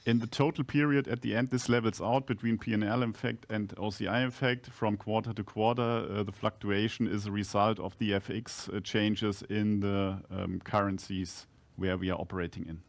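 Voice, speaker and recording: A man speaking as a company executive on an earnings call, explaining accounting matters haltingly with broken-off phrases. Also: fairly low pitch, steady tone. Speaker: company executive answering analysts.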